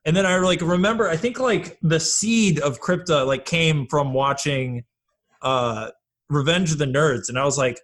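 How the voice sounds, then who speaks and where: A man's voice: fairly high pitch, moderate pace, a bit bright. A man chatting casually as a podcast guest, peppering his talk with "like" and the odd swear. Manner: swears casually, uses "like" heavily. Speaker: podcast guest, audio drama creator. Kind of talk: casual chat.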